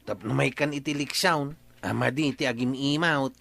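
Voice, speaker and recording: Bossy rural man, Ilocano accent, sharp and forceful, grumbling, comedy drama acting. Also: mid-range voice, moderate pace, gravelly. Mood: scheming. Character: grumbling, grudge-bearing village man, bossy older brother.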